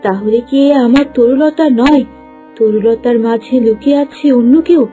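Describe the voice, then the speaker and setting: Woman as a mellow, high-voiced mother bird. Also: moderate pace, faint music behind, close to an everyday voice. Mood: shocked, determined. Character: wronged mother turned into a bird, protective, determined. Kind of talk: cartoon fairy-tale voice acting.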